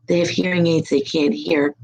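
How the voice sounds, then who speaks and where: A hoarse, husky middle-aged woman hosting a live stream as a psychic reader, chatting in a rambling, upbeat way from her home. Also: low voice, steady tone, moderate pace, a little mellow. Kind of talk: casual live-chat monologue.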